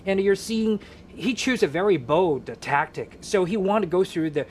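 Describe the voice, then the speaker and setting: A male guest explaining at length on a live talk show, pausing often with fillers. His voice is somewhat high for a man. A faint noise hums behind him. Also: animated. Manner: explanatory, frequent hesitations. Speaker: guest skiing enthusiast.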